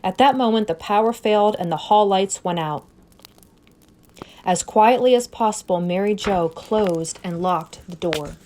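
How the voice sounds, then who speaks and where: A woman reading a scary story aloud as narrator, expressive and suspenseful, with deliberate phrasing. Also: medium pitch. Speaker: story reader.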